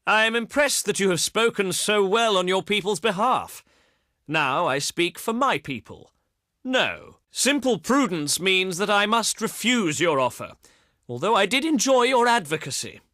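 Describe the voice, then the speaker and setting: Pompous, high-pitched ancient Greek diplomat. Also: male voice, big pitch swings, a little slow, sharp tone, no room sound, studio quality, full character voice. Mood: courteous, firm. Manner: pompous, oratorical, declaiming in ornate phrases. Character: proud ancient Greek diplomat, eloquent, scornful, theatrical man. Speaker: voice actor in a strategy game. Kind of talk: theatrical video game diplomacy voice lines.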